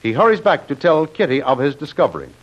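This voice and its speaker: A man's voice: fairly high pitch, wildly swooping pitch, quick pace. A man narrating an old radio serial as announcer, formal and dramatic. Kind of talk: vintage radio scripted narration. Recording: muffled old radio recording.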